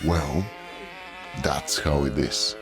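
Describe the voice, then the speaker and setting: An actor playing a gruff, sneering male innkeeper in an audio drama. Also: rumbling low, steady tone, drawn-out words, music in the background, exaggerated voice. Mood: mocking.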